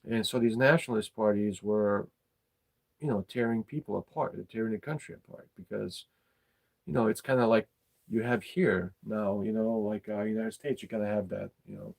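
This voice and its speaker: A middle-aged man, talking casually and bluntly about his opinions, halting and repeating his words. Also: fairly low pitch, gravelly. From former Yugoslavia. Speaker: podcast host.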